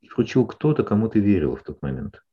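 A male psychologist calmly explaining ideas to a client in a session, often checking in with short questions. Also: fairly low pitch, fast, husky, phone-quality sound. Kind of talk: counseling session.

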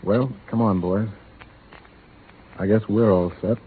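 An American actor playing a calm, fatalistic cattle rustler in a 1930s radio western, laconic and folksy. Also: male voice, on the low side, slow. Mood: resigned. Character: fatalistic, calm cowboy rustler resigned to his fate. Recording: tinny, noisy 1930s radio recording.

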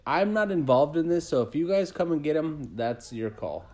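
A man's voice: a little high, lively intonation, normal speed, darkish tone. A man co-hosting a podcast, casually teasing his co-host with crude jokes. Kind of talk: casual joking chat.

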